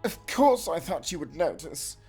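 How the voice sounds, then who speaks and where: A man playing a flamboyant, lecherous vampire aristocrat who pleads theatrically in a dark comedy audio drama. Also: on the high side, sing-song, moderate pace, husky, soft background music. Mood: defensive. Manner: theatrical, drawling, dramatic sighs and exclamations.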